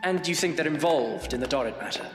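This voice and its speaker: An actor playing an earnest, troubled, courteous Victorian gentleman in an audio drama, sincere and questioning. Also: a little high, expressive, quick pace, over music, close to an everyday voice. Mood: inquiring.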